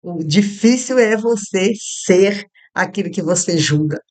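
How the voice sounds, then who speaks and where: A woman's voice: medium pitch, a little slow. A woman from Rio Grande do Norte in Brazil, with a Northeastern Brazilian accent, giving a casual Bible study at home and drifting through asides with many fillers and restarts. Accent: Northeastern Brazilian Portuguese. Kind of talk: informal Bible study talk. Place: home, not a studio, with street traffic outside. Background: soft background music.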